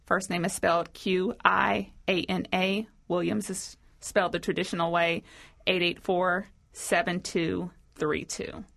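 A woman's voice: on the low side, level tone, a little slow, gravelly, fairly bright tone. A woman speaking as a guest on a radio interview, answering a caller in a friendly, upbeat way. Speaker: chamber of commerce director, studio guest. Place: radio studio.

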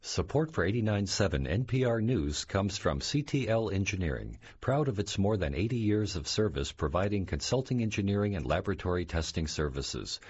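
Sponsor read: very deep, husky male voice, lively intonation, slightly dull sound. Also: fairly quick, hardly any pauses. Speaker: sponsor announcer. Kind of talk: scripted underwriting spot.

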